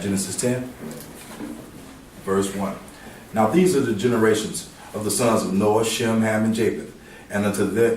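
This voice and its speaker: A man's voice: lowish voice, a little hiss or hum. A man in a casual religious discussion who talks steadily and reads scripture aloud. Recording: echoey room, distant mic.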